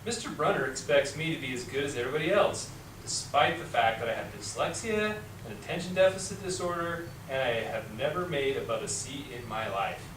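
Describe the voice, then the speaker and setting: A man's voice: mid-range voice, lively intonation, a little fast, close to an everyday voice. Troubled schoolboy hero for reader's theater adult man, sincere storytelling, recorded far from the mic with noise. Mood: wry. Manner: earnest first-person storytelling. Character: troubled twelve-year-old schoolboy, put-upon, earnest narrator. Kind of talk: amateur reader's theater acting. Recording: echoey room, distant mic, clear noise.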